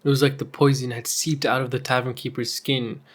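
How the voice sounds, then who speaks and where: A man's voice: medium pitch, little pitch movement, fairly quick. A man giving scripted story narration with wry, conversational asides.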